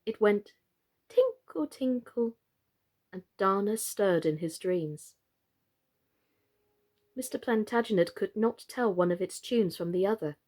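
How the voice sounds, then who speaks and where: A woman storyteller with a mid-pitched voice presents to young listeners in an expressive way. She speaks as a children's storytime reader, addressing her audience directly. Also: unhurried, pauses often.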